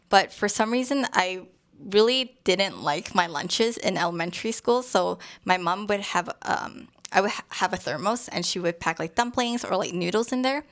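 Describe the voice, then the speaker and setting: A young woman whose first language is Cantonese, chatting casually as a co-host and telling stories softly, with many pauses and fillers. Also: mid-range voice, vocal fry. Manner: soft, hesitant storytelling with many fillers. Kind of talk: casual chat.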